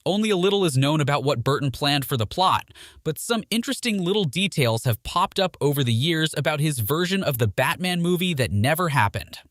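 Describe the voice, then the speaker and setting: Lively, higher-voiced man narrating, dry studio sound. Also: fairly quick, dry recording. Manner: presenter-like, poses rhetorical questions. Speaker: video narrator. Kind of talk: scripted film trivia narration.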